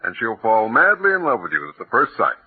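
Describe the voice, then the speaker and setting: An actor playing a confident, affectionate newlywed father with a husky voice in a 1940s radio drama. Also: medium pitch, wildly swooping pitch, brisk, exaggerated voice. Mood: doting, confident. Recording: very muffled vintage radio recording.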